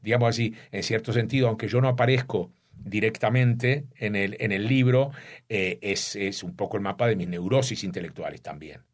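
An older man from Uruguay, an author speaking thoughtfully in an interview about his book and often pausing to search for words. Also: fairly low pitch. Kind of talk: reflective interview talk.

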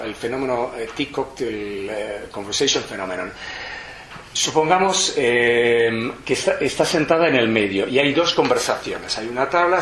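A man gives a live lecture, thinking aloud and restarting phrases as he explains. His voice sits at an ordinary middle pitch. The recording has a roomy echo and a faint hiss underneath. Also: a bit bright. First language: Spanish. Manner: reflective, explanatory, frequent restarts. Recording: roomy hall echo, faint background noise.

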